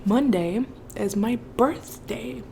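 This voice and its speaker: A woman's voice: on the high side, slow pace, vocal fry, darkish tone, a little hiss or hum. A woman in her early thirties chatting casually and expressively as a mukbang vlogger, with informal asides. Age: early 30s. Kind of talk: casual chatty storytime while eating.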